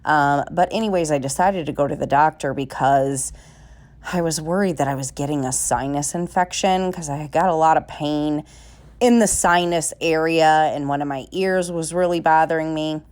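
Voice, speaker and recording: Woman, nasal, congested, chatty vlogger, lowish voice, home kitchen. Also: normal speed, darkish tone. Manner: bubbly and talkative, many fillers. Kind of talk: casual chatty vlog.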